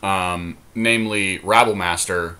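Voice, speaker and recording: A man with a lowish, bright, forceful voice co-hosts a casual podcast. He talks in a relaxed way, thinking aloud with plenty of ums between points. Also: a little slow. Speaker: podcast co-host. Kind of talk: casual chat about card drafting.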